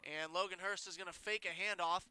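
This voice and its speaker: A young man calling high school football play-by-play, a little congested and nasal, getting quick and excited on big plays. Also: clean tone. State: congested, says he sounds nasal. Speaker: play-by-play announcer.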